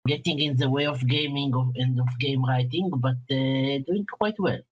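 A man in his late thirties with an Israeli accent, a guest speaking hesitantly with pauses over a video call. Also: mid-range voice, lo-fi sound. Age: 30s. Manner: hesitant, plain phrasing with pauses. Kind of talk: casual interview chat.

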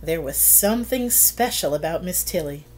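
A middle-aged woman reading a children's picture book aloud, with expressive, deliberate storytelling and drawn-out phrases. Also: lowish voice. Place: small plain room indoors.

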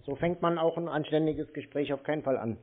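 Blunt, mocking male phone sparring partner, slightly high-pitched, thin phone-band audio. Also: brisk. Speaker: scam-baiter prank call host. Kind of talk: confrontational phone conversation. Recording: tinny narrow phone-like sound.

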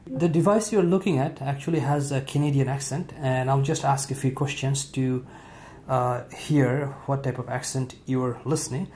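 A man hosting a tech tutorial, talking casually off camera in an indoor room with frequent fillers and pauses. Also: mid-range voice, slight background noise. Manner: relaxed, halting with frequent "uh" fillers. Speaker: tech video host.

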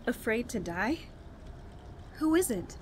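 A woman playing a despairing, bitter young murderess, halting and brooding, in an old-style radio horror drama. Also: fairly high pitch, sing-song, slight background noise. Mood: terrified. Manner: halting, brooding, with anguished emphasis. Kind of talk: melodramatic 1940s-style radio horror acting.

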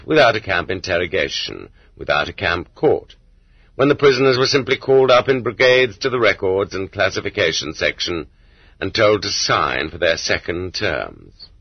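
A man with a deep, slightly rough-grained voice narrates an audiobook. His delivery is measured and rhetorical, with deliberate weight at the ends of phrases. The recording is dry and somewhat muffled, like a lo-fi cassette transfer. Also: no room sound. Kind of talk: scripted audiobook narration of literary nonfiction.

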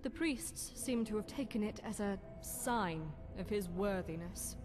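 A woman playing a solemn, loyal demon warrior in scripted game dialogue, measured and formal. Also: mid-range voice, over music, close to an everyday voice.

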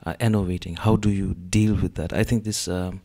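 A man with a lowish voice speaks on a panel before a seated gallery audience. He talks haltingly through a handheld mic, full of "uh" and "um". He sounds like a man in his thirties. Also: fairly even intonation, warm tone. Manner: hesitant, many fillers. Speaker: panel artist. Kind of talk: panel discussion.

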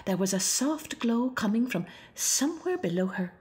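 A woman's voice: on the high side, very animated, moderate pace, a little mellow. A woman narrating a children's storybook expressively. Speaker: storybook reader.

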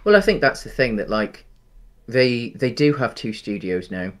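A man's voice: medium pitch, very animated, moderate pace, a bit bright. A man in his thirties co-hosting a podcast over a video call, rambling with many ums and restarts. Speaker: podcast co-host. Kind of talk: casual chat. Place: home room, video call.

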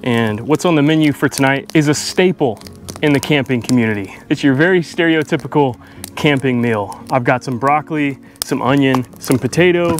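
A young man talking casually to his camera while camping, relaxed and chatty, with frequent laughs. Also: medium pitch, normal speed. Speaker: vlogger. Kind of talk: casual vlog narration. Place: in a tent and at a campsite in the woods. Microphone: handheld action camera.

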